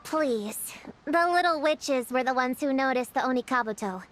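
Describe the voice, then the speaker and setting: A woman's voice: high-pitched, normal speed, a little hiss or hum. A woman voicing an earnest, responsible young girl in a video game, matter-of-fact as she reasons things out. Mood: thoughtful, serious. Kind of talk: video game character acting. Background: loud game music.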